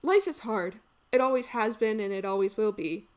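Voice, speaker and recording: A woman playing an earnest, reflective character in a contemporary dramatic monologue, speaking with deliberate emphasis. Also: mid-range voice, natural voice. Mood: wistful, resolute. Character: earnest, reflective woman musing on life and love.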